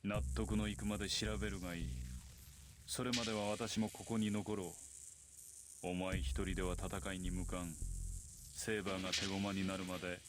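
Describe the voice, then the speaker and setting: An actor playing a stoic, quietly intimidating master in a Japanese visual novel, with a flat, deadpan, terse delivery. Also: male voice, sits low, fast, close to an everyday voice. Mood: calm, impassive. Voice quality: flat, unchanging tone. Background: loud music with drums.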